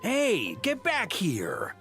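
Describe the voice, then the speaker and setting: An animated, weary older grandmaster. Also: male voice, sits high, drawn-out words, creaky, faint music behind, full character voice. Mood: exasperated. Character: older male grandmaster knight, weary, fatherly authority. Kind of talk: video game character acting.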